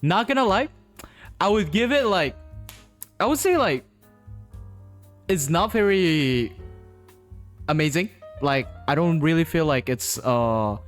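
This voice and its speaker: A man's voice: on the high side, lively intonation, takes it slowly, long pauses. A man commentating casually on a live game stream in a laid-back, slangy way. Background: game music.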